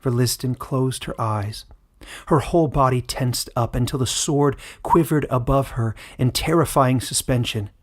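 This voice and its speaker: A man narrating a fantasy story in a dramatic, scripted style with measured, suspenseful delivery. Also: medium pitch. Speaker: author and narrator.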